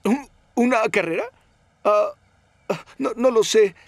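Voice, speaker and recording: Locomotora veloz, insegura, muy aguda. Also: male voice, sits high, expressive, slow, no room sound, heavily acted. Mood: worried. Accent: Latin American. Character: fast streamlined engine, eager but anxiously cautious. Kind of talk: animated children's cartoon acting.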